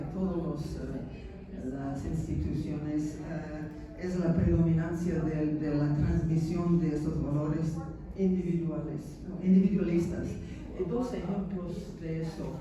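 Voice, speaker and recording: Slow, halting American woman in echoey hall. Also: low-pitched, fairly even intonation, mellow. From United States. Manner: halting, searching for words in a second language. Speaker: panelist. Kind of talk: conference panel remarks. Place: large conference hall. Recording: echoey hall from far off, audience noise.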